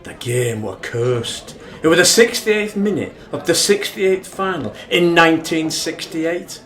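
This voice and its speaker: A man's voice: a little high, measured pace, a bit bright. A man with a Northern English accent acting in a radio play as an argumentative, wry, emphatic rugby fan. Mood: insistent. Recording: echoey room.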